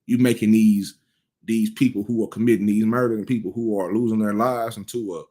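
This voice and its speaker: A man's voice: fairly low pitch, fairly even intonation, brisk, mellow. Blunt, profane man in his thirties. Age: 30s. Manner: blunt, profane, rambling with frequent restarts. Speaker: commentator. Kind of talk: casual cultural commentary.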